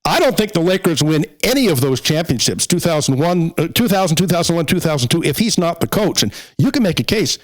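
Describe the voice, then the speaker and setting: Male podcast host, slightly high voice, casual sports talk, halting conversational delivery. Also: big pitch swings.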